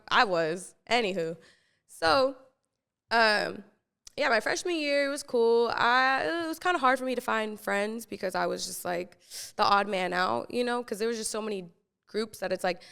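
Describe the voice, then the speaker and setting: A woman's voice: on the high side, fairly bright tone, smooth, long reverb tail. A young woman, hosting a podcast and telling stories from her own life in a chatty, slangy way full of fillers. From San Francisco Bay Area. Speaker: podcast host. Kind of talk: casual storytelling.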